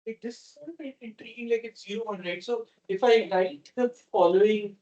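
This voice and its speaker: An audience member works through a question aloud, hedging with frequent likes as the idea takes shape. The voice sits at a middling pitch and comes through muffled and lo-fi. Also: brisk, warm tone. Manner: hesitant, reasoning aloud with many likes.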